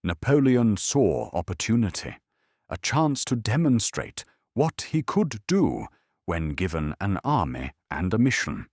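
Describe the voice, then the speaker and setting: A man with a low voice narrates history as a bedtime story. His delivery is calm and measured, yet his pitch rises and falls widely. The sound is close and dry. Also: husky, dry recording.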